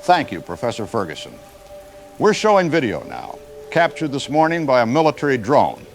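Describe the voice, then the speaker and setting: A man playing a grave news anchor in an audio drama, delivering formal, urgent crisis reports in a measured way. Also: medium pitch, very animated, soft background music. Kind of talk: scripted broadcast news reporting.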